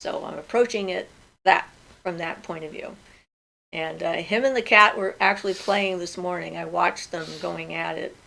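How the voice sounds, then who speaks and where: Older woman hosting from home; lowish, gravelly voice; casual, unhurried livestream chat. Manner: relaxed and chatty, thinking aloud with fillers. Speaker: host of a magic Q&A livestream. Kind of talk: casual livestream chat, reading viewer questions.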